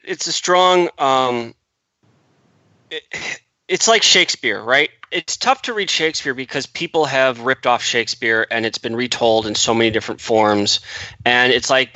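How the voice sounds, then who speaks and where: Bright-voiced man musing about books. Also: medium pitch, no room sound, muffled recording. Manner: thoughtful, rambling. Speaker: book club member. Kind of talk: casual discussion.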